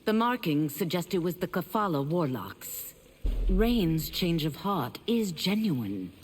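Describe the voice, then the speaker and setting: An earnest, formal warrior woman speaks very low and with grave respect. The game dialogue has dramatic rises and falls over faint background noise. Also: sing-song, takes it slowly. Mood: sombre, earnest.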